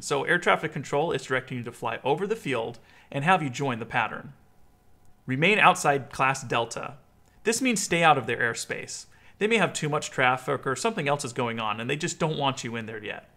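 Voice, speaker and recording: American man explaining, bright creaky voice. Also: medium pitch, lively intonation, fairly quick. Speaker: flight instruction video host. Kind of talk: instructional explainer to camera.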